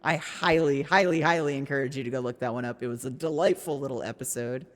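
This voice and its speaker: A woman with a slightly raspy voice, streaming a story game, reading its text aloud and chatting casually with some swearing. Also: rumbling low, fast. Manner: chatty, swearing, laughing, trailing off. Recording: music playing in the background.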